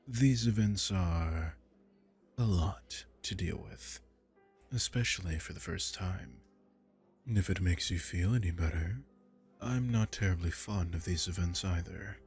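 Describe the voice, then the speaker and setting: A man voicing a suave aristocratic male vampire lord in an ASMR roleplay, smooth and teasing. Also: deep voice, a little mellow.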